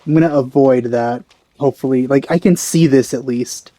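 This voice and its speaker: Mellow male gamer thinking aloud. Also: medium pitch, moderate pace. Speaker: let's play gamer. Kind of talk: casual blind playthrough commentary.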